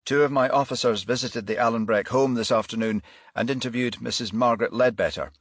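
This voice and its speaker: A man voicing a stern, methodical police detective in an audiobook, formal and measured as he presses his questions. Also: medium pitch, no echo, clean studio sound, voice pushed up, natural voice. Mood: formal, pressing.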